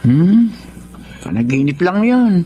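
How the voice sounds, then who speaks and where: A woman's voice: lowish voice, very animated, slow, dark tone, muffled recording, a little hiss or hum. An actress playing a caring, reassuring wife and mother in a radio drama. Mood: caring, puzzled. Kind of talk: Tagalog radio drama acting.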